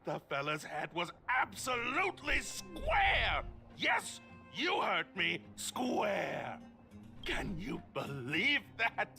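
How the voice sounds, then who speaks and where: A jumpy, stammering young guard. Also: male voice, high-pitched, slow pace, creaky, faint music behind, exaggerated voice. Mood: frightened. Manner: stammering, frightened, excitable. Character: nervous young male patrol guard, jumpy. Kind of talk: game voice acting.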